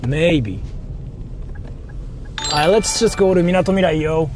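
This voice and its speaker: A man's voice: on the high side, measured pace. A man with a Japanese accent, a native Japanese speaker, chatting casually and slangily on a livestream and often repeating himself. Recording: faint vehicle noise on live stream.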